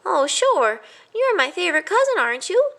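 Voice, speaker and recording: A woman's voice: extremely high pitch, sing-song, moderate pace, creaky, a bit bright, voice pushed up, exaggerated voice. A woman voicing a kind, trusting boy mouse in a children's storybook, eager, naive and full of questions. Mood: cheerful, generous.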